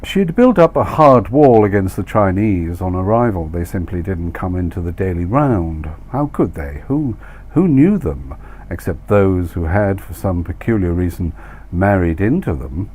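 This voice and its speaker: Low-voiced man reading a wry story. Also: lively intonation, measured pace, a little mellow. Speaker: author reading own story. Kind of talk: scripted literary narration.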